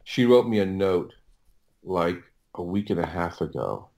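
A man talking casually as an interview guest, explaining things in a halting way and often repeating his words. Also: low voice, lively intonation, creaky, a little mellow. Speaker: interview guest, audio producer.

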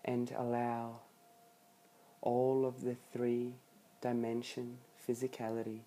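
A meditation guide speaking soothingly and softly in short phrases with long pauses between them. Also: medium pitch, completely flat delivery. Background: background music.

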